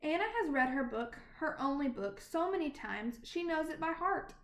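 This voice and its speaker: A woman reads a children's picture book aloud in an animated, deliberate storytelling manner. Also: sits high, slight background noise. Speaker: storybook reader. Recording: roomy, echoing sound.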